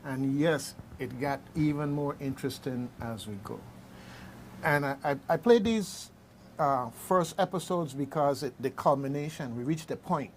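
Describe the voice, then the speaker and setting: A man with a fairly high voice hosts an unscripted radio discussion. He talks conversationally, halting and repeating phrases, in a studio with faint background noise. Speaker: radio host. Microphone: studio microphone.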